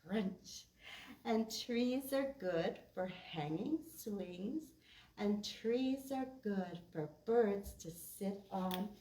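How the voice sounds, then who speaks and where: Slow-talking woman leading children's storytime, echoey room. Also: mid-range voice, slight background noise. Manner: expressive, simple talk addressed to small children. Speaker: preschool storytime host at a library. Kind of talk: children's storytime, reciting rhymes and reading a picture book aloud. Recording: roomy echo, distant mic.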